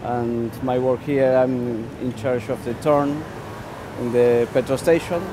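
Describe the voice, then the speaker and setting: A man's voice: mid-range voice, steady tone, takes it slowly, noisy background. A man from Argentina, a native Spanish speaker, describing his work at a petrol station in simple English, searching for words. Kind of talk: documentary interview.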